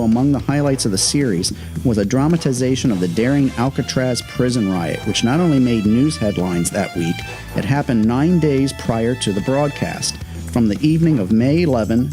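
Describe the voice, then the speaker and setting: A man's voice: mid-range voice, warm tone. A man hosting a programme with scripted historical commentary, in the manner of a prepared narration. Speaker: host, radio historian.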